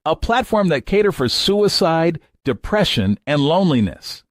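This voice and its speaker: A man's voice: fairly high pitch, sing-song, normal speed, no echo, studio quality. A man describing a support platform in declarative, slogan-like statements.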